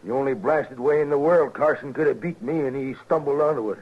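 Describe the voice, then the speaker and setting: A man's voice: mid-range voice, fairly quick, lightly acted. A man playing a hot-tempered, domineering old rancher and father in an old-time radio western, blustering and threatening. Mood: indignant. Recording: muffled, noisy old radio drama recording.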